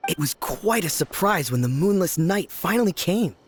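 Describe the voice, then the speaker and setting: A man voicing a chatty townsperson in a video game, speaking in a relaxed, musing way. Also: sits high, normal speed, a little hiss or hum.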